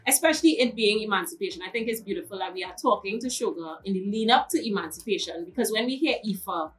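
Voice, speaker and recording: A woman's voice: medium pitch, animated, fast, far from the mic, soft background music, low-quality recording. A woman hosting an interview, affirming the guest with short responses and asking follow-up questions. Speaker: interviewer host. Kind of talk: interview chat. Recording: voice a bit off-mic.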